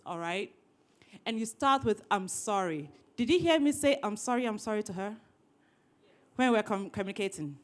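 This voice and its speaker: A woman trainer with a fairly high voice runs a workshop with energy. She teases the room and echoes back what people say. She speaks into a handheld mic. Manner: lively, teasing, repeats others' words back. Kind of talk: interactive training session.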